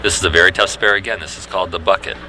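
Man, very low voice, bowling color commentary, chatty and knowing, noisy alley. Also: very fast. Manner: relaxed, knowing, chatty explanations.

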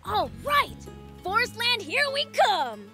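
Brash, high-voiced young demon prince. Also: female voice, wildly swooping pitch, takes it slowly, pushed and bright, faint music behind, exaggerated voice. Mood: cocky, excited. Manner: cocky, blunt, boisterous. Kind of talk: video game voice acting.

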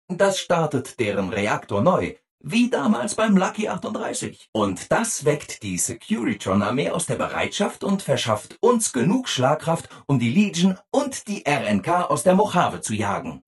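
A man's voice: on the high side, husky, full character voice. A man voicing a relentlessly cheerful, eager-to-please robot assistant in a video game, with chirpy, over-eager, sing-song delivery. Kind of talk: video game character dialogue.

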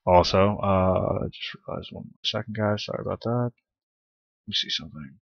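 A man's voice: lowish voice, moderate pace, vocal fry, no echo, highs cut off. A man streaming a video game, chatting casually and thinking aloud as he plays. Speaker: gaming streamer. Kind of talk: casual gameplay commentary. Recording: game music playing loudly behind.

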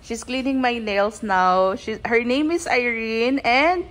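A woman's voice: fairly high pitch, takes it slowly, fairly bright tone, slight background noise. A woman with a Filipino accent, chatting casually in a vlog at a nail salon and giggling often. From Philippines. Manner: giggly, chatty, mixing English and Tagalog. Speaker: vlogger.

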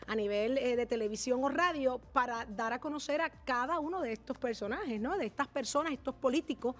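Middle-aged Puerto Rican woman, fairly high voice, lively guest who laughs easily. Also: brisk. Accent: Puerto Rican Spanish. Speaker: TV and radio show host, guest. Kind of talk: casual interview. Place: TV studio set. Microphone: desk microphone.

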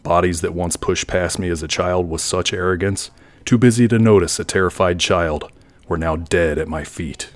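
A man playing a brooding, grandiose villain in a fan audio drama, delivering his words in a dramatic, measured way. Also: low voice, fairly even intonation, close to an everyday voice. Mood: brooding, bitter. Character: brooding, grandiose male villain plotting world domination.

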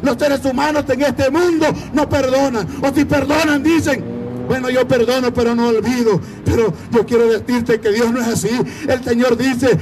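A man's voice: very high voice, fairly even intonation, moderate pace, noisy background. A man with a Latin American Spanish accent preaching an evangelistic sermon in a fervent, declamatory, exhorting manner.

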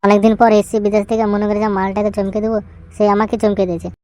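A woman's voice: mid-range voice, little pitch movement, rapid-fire, natural voice. A woman voicing a lovelorn, stubborn young village man in a comic cartoon, with coarse slangy banter. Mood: aggrieved.

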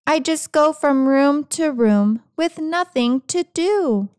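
Woman as a dramatic grumpy cat, storybook read-aloud, slow-paced, dry close sound, sarcastic complaints. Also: high-pitched, expressive, darkish tone, no room sound. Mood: bored, mopey. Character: grumpy, dramatic, self-pitying pampered house cat. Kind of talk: picture-book read-aloud.

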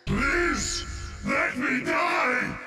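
A man's voice: very high-pitched, huge pitch swings, drawn-out words, full character voice. A man voicing an anguished undead warrior in a video game, pleading and crying out. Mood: anguished, desperate. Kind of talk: video game character acting. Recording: loud music behind.

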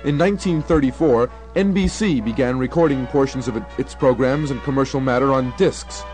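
A young man narrating a scripted radio documentary in a formal, measured announcer's manner. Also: mid-range voice, a little mellow, soft background music. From United States. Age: young adult.

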